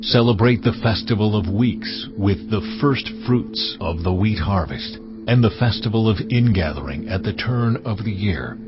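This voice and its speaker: A solemn, commanding God speaks in a measured, lowish male voice. The sound is slightly dull and lo-fi. Loud cinematic music plays behind him. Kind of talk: dramatized scripture reading.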